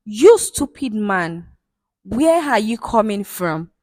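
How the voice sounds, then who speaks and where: A woman's voice: mid-range voice, sing-song, takes it slowly, dry recording. A woman voicing an accusing wronged wife. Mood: angry. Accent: Nigerian. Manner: shouting accusations. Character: angry, wronged young wife.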